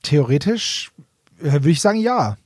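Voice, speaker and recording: Guy cohost, pretty high voice for a man, super dry sound, chatty explaining. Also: big pitch swings, unhurried, darkish tone, slightly rough, no room sound. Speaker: podcast co-host. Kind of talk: casual explanatory chat.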